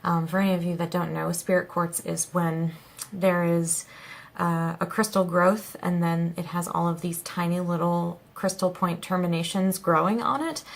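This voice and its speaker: A young woman, hoarse from a cold, chatting in a rambling, relaxed way as she hosts a haul vlog. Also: lowish voice, normal speed. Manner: rambling, lots of ums and likes. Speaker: vlog host.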